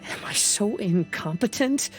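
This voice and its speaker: A woman voicing an earnest, devoted young son in a video game, soft-spoken and pleading. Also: mid-range voice, animated, a little slow, faint music behind, natural voice. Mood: hurt, pleading. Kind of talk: dramatic video game voice acting.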